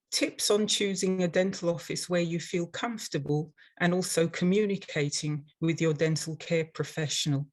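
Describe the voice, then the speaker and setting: An older woman with a London English accent presents a prepared webinar. Her voice sits a little low for a woman. She speaks in a measured, instructional manner, like an experienced instructor addressing learners. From London, England. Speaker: dental hygienist and instructor presenting.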